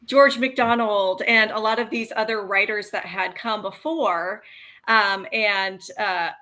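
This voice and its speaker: Woman, podcast host, bright sharp voice, medium pitch, enthusiastic, informal interview chat. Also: fairly even intonation, measured pace.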